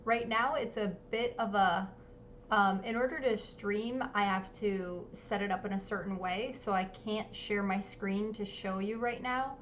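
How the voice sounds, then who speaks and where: A woman hosting a live-streamed artist interview, talking casually with lots of ums and quick apologies. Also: mid-range voice, fairly even intonation, a bit bright, highs cut off, a little hiss or hum. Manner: chatty, many ums, apologetic. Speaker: livestream interview host. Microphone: home streaming setup.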